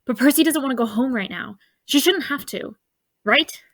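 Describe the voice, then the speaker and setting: A woman plays a young daughter in an audio drama, with a high voice and a dry, close sound. She is loyal and defiant, speaking informally and ready to protest. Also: moderate pace, fairly bright tone, no room sound. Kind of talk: scripted audio drama acting.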